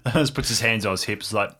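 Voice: mid-range voice, normal speed, bright, forceful tone. A player in an improvised tabletop story, casually narrating their own character and speaking lines in character. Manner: informal, narrates own character in third person. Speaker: player in an audio drama role-playing game.

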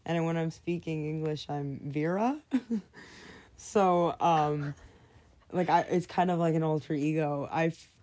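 Low, mellow-voiced young woman, hesitant talker. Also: unhurried. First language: French. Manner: hesitant, full of "like" and "um", laughs often. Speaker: podcast guest. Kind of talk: casual conversation.

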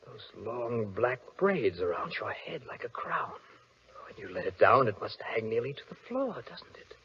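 A man's voice: medium pitch, big pitch swings, normal speed, slight background noise. An actor playing a menacing, courtly nobleman villain with a silky, mock-tender manner in an old-time radio drama. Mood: silky, sinister wooing. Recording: muffled old radio broadcast recording.

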